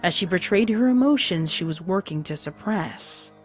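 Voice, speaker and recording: A woman's voice: on the low side, lively intonation, moderate pace, faint music behind. A woman narrator reading a dramatic fan fiction story in a scripted style.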